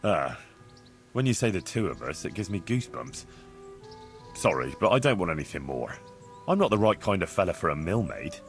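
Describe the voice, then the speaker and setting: An actor playing an earnest, cheeky young medieval village lad in love, bashful and plain-spoken, in a video game. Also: male voice, deep voice, big pitch swings, moderate pace, pauses often, faint music behind. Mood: awkward. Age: young adult. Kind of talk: naturalistic video game dialogue acting.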